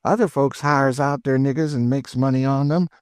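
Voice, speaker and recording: A man's voice: mid-range voice, steady tone, normal speed, darkish tone, clean tone, no echo, studio quality, pitched above the performer's own voice, close to an everyday voice. A man voicing an eager, chatty older woman cook with an in a storybook reading. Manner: exclaiming, folksy, eager.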